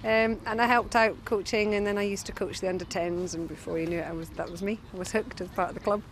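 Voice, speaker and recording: A woman in her forties chats informally as a club coach and player. She is outdoors, with clear ambient noise around her. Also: mid-range voice, fairly even intonation, fast. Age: 40s. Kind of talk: casual interview. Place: open grass playing field. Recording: noisy outdoor field.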